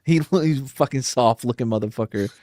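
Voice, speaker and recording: A man's voice: mid-range voice, brisk, no room sound, studio quality. A man co-hosting a casual history podcast, joking and swearing freely in loose banter. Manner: animated, jokey, swears freely. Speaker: podcast co-host.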